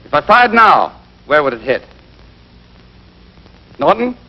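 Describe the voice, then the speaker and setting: A man playing a brisk, exacting American army rifle instructor in a scripted 1940s training film, drilling and quizzing with emphasis. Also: a little high, very animated, heavily acted. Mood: firm, emphatic. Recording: dull band-limited 1940s film soundtrack.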